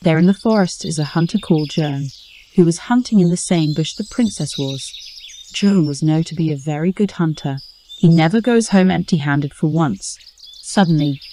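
A woman's voice: deep voice, dark tone, a little hiss or hum. A woman narrating a scripted folktale as a storyteller. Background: music under the voice.

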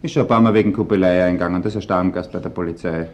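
An actor playing a deferential junior police officer, formal and obedient, in a radio crime drama. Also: male voice, low-pitched, steady tone, rapid-fire, close to an everyday voice. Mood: dutiful. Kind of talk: German-language radio crime drama acting.

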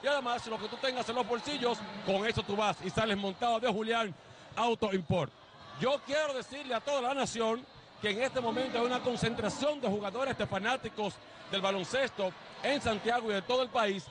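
Loud, high-voiced Dominican male TV reporter. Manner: loud, energetic, drawn-out emphasis. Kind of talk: live on-location TV interview. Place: outdoor neighborhood basketball court. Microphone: handheld. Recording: noisy crowd outdoors.

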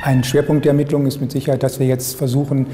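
A man's voice: mid-range voice, little pitch movement, fast. A man in his fifties giving a formal press statement as an official spokesman, recorded indoors. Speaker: public prosecution spokesman. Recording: noisy, reverberant indoor press interview.

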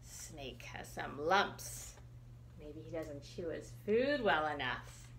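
A middle-aged woman reading a picture book for children, expressive and putting questions to young listeners. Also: low voice, slow, gravelly, roomy sound, slight background noise. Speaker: children's librarian, storytime reader. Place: small room indoors.

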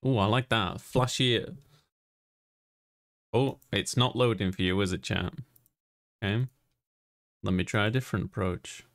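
A man's voice: on the low side, measured pace, long pauses, a bit bright. A young man streaming a game, chatting casually and informally as he muses aloud into a close mic. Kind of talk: casual chat, let's play commentary.

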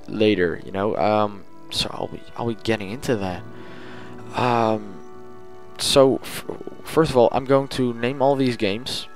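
Low-voiced man rambling casually, faint music behind. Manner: informal, chatty, thinking aloud. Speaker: gaming channel host. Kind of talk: casual rambling audio log.

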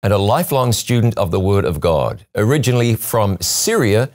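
Deep-voiced middle-aged male interviewer. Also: big pitch swings, normal speed, a little mellow. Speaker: talk show host and interviewer. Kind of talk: broadcast interview. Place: television studio, seated at a desk. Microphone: lapel mic.

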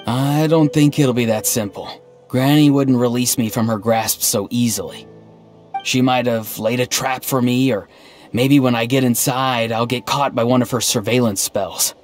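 A man's voice: medium pitch, fairly even intonation, moderate pace, faint music behind, lightly acted. An actor playing an earnest, naive young man in a video game, speaking in a literal, understated way. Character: earnest, naive, deadpan young man, an odd gentle hero.

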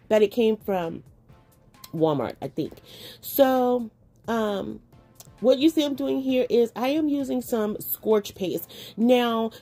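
A chatty woman host with a slightly raspy, mellow voice, talking warmly and casually to her viewers. She sounds like she is recovering from an illness, with light music playing softly behind her. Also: on the high side. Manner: chatty, affectionate, laughs often. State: recovering from illness, dry cough. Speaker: craft channel host. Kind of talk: casual voice-over while crafting. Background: faint background music.

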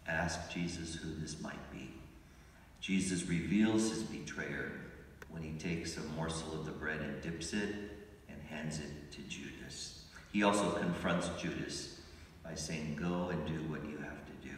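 An older man, a priest giving a homily from the pulpit, speaking in measured, reflective phrases and slowing down for emphasis. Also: very deep voice, slight background noise. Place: large church sanctuary, at a pulpit. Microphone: pulpit mic, recorded by a phone from the pews. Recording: echoey church from far off.

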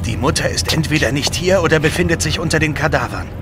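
An actor playing an earnest, anxious Victorian inventor in an audio drama, intense and precise. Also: male voice, fairly high pitch, fast, over music, natural voice. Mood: earnest, grave. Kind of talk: German audio drama acting.

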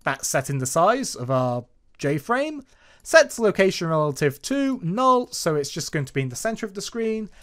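A man presenting a software tutorial as a voice-over, explaining step by step in an informal, partly scripted way. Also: high voice, big pitch swings, moderate pace. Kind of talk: scripted programming tutorial narration with informal asides. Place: voice-over to a screen recording.

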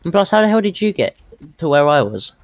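A man's voice: fairly high pitch, sing-song, fairly quick, no room sound. Man on dry game voice chat. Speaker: player. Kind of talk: casual in-game roleplay chat. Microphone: online game voice chat.

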